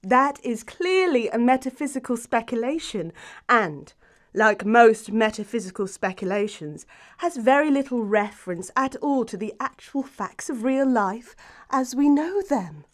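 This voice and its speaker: A woman's voice: a little high, lively intonation, measured pace. A woman playing a self-assured, romantic young upper-class English lady, poised and precise, in an audiobook stage comedy. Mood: dreamy, emphatic. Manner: poised, precise, grandly emphatic.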